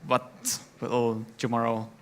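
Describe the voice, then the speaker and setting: A young man from Estonia telling his founder story in a plain, slightly halting way on a conference stage. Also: mid-range voice, monotone, a little hiss or hum. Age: young adult. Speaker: startup founder giving a talk. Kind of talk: conference talk.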